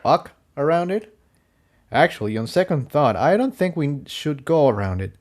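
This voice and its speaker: A man's voice: on the high side, sing-song, moderate pace, darkish tone, voice pushed up. A man voicing an earnest, inquisitive male pony adventurer in a story reading. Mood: thoughtful.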